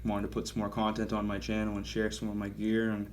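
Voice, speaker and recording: A man in his thirties with a fairly low voice chats through a casual gear review. He rambles, corrects himself mid-sentence and sounds enthusiastic about what he shows. A faint hum of room ambience sits behind him. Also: completely flat delivery, fast. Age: 30s. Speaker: knife reviewer.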